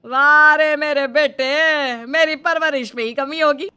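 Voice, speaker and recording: A woman's voice: high voice, steady tone, slow pace, pushed and bright, full character voice. A woman voicing an indignant, sarcastic middle-aged mother-in-law in a comic cartoon story, scolding and dramatic. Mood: wounded, dramatic.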